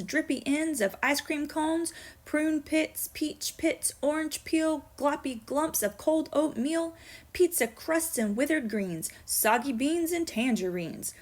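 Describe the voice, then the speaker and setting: A woman teacher doing a children's read-aloud of rhyming poems in an expressive storytelling manner. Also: sits high.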